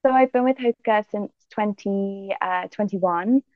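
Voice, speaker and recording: A young woman athlete from Jamaica, answering interview questions in a relaxed, thoughtful way with many fillers. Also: mid-range voice, measured pace, dry recording, dull audio. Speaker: guest athlete.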